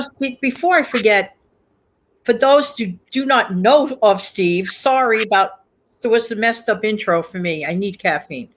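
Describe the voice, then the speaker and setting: A woman hosting a casual livestream, chatting in an emphatic way and repeating phrases for emphasis. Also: medium pitch. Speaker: show host.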